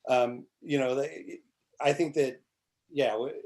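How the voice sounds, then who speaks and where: A man's voice: medium pitch, fairly even intonation, takes it slowly, a little mellow. A man in his forties chatting casually on a video call, rambling with frequent restarts and fillers and casual swearing. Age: 40s. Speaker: congressional candidate guest. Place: small indoor room, plain wall. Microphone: video call webcam.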